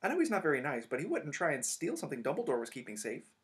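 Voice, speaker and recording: A man's voice: fairly high pitch, very animated, moderate pace, voice pushed up. A man voicing a prim, earnest know-it-all schoolgirl with an insistent manner.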